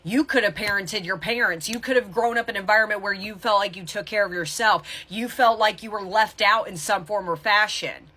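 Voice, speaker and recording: A woman's voice: medium pitch, a little fast, hardly any pauses, pushed and bright. A woman giving motivational spiritual advice as a tarot reader, in a blunt, emphatic tough-love manner with casual swearing.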